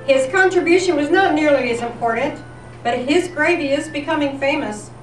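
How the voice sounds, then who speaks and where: A high-pitched woman reads a scripted, nostalgic history as an event presenter. She sounds distant from the mic, in a reverberant room. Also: moderate pace, faint music behind. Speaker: presenter at a volunteer appreciation event. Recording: echoey room, distant mic, background noise.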